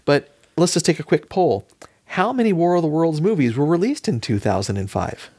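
A man with a fairly high, lively voice hosts a casual discussion of films. He banters and states his opinions firmly, and the sound is close and dry. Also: fairly quick, husky, no echo. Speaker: podcast host.

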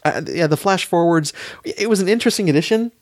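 A man's voice: high voice, fast talker, no room sound, studio quality. A middle-aged man co-hosting a podcast in casual discussion. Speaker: podcast co-host.

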